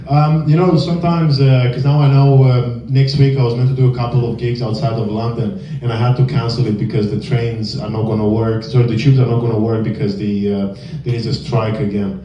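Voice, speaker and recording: A young man from northern Romania with a Romanian accent, performing a halting, filler-heavy deadpan stand-up set in a small comedy club. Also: medium pitch, recorded from a distance. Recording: echoey room, heard from afar, dull sound. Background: audience laughter.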